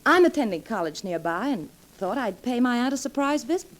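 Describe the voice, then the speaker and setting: A woman's voice: a little high, big pitch swings, fairly quick. A young woman playing an eager, determined college girl of twenty, polite and earnest, in 1940s radio drama style. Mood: bright, curious. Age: young adult.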